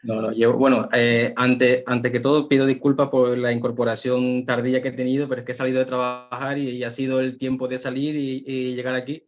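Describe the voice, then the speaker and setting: Flat-voiced man on dull lo-fi audio. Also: mid-range voice, brisk, few pauses. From Ecuador. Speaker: guest, a nurse. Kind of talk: interview answers.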